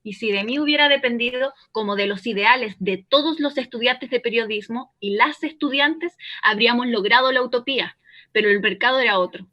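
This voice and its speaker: A woman's voice: fairly high pitch, a little fast, bright, forceful tone. A woman playing a defensive, earnest journalist and former newsreader in a radio play, firm and articulate, over a remote link. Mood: earnest, resigned. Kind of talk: radio play as live call-in interview. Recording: dull, band-limited remote link.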